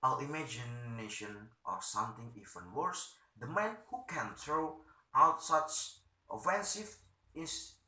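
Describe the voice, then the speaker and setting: A man playing a stern, threatening, pompous town official in a play, formal, scolding and halting. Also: mid-range voice, lively intonation, sharp tone, reverberant, rough recording.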